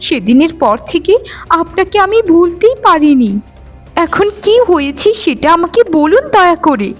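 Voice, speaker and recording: A woman voicing a gentle, lovestruck young forest maiden in an earnest cartoon fairy-tale style. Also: very high voice, sing-song, moderate pace, faint music behind. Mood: tender, worried. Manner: tender, earnest.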